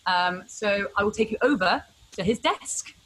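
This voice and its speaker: A woman's voice: medium pitch, lively intonation, normal speed, fairly bright tone, low-quality recording. A woman presenter giving a guided tour of a ship's operations room, tossing in light, encouraging interjections.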